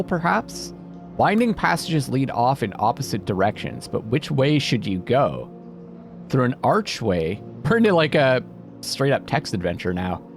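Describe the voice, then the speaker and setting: Male game streamer, mid-pitched and very expressive, reads on-screen text with drama and reasons aloud, clear music underneath. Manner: animated, dramatic when reading aloud, thinking out loud. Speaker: video game streamer playing a narrative game. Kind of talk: let's-play commentary, reading game text aloud. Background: music behind the voice.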